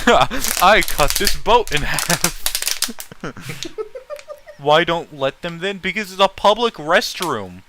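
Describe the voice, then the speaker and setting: A man's voice: high-pitched, wildly swooping pitch, extremely slow, long pauses, noisy background. A man in casual, opinionated stream chat, talking bluntly and swearing freely.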